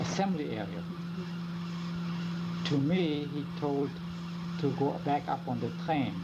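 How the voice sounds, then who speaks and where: An older man whose first language is German, slowly and carefully recounting his memories in an oral history interview. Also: mid-range voice. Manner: slow, halting, careful recounting. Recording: lo-fi tape with loud hum.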